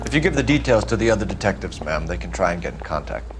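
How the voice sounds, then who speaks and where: Probing American detective, lively swooping intonation, clipped formal questions, game cutscene, music behind. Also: male voice, sits low, fast talker. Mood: businesslike. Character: firm, probing male 1940s Los Angeles police detective. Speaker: police detective. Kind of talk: video game cutscene acting.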